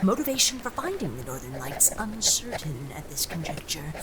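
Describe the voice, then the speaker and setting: A woman playing a pompous, excitable sprite who acts as an official observer in a family audio drama. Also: deep voice, normal speed, a crowd in the background. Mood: officious dictation. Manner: officious, pompous, dictating formal reports. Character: bossy, excitable female mountain sprite, self-appointed official observer.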